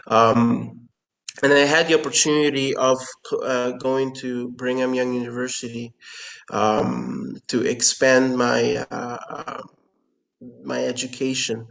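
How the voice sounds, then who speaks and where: Curacao-guy, papiamento speaker, earnest, keeps restarting words, mid pitch, remote call. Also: vocal fry, fairly bright tone, rough recording. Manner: earnest, repeats words as he searches for phrasing. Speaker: company founder, interview guest. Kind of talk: explanatory business conversation.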